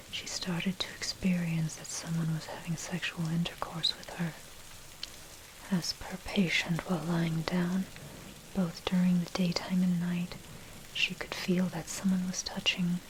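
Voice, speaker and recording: A woman reading a scripted article in a breathy, unvoiced ASMR whisper, with measured, articulate, bookish delivery. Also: fairly low pitch. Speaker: narrator reading own dark history article. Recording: steady noise, rain and thunder behind. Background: rain and thunder.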